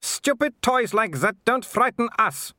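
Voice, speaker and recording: A man voicing a haughty, older aristocratic werewolf mother with sharp, clipped, scornful speech in an audiobook. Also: very high voice, animated, a little slow, no echo, clean studio sound, voice pushed up, exaggerated voice. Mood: haughty outrage. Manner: sharp, clipped, snapping delivery.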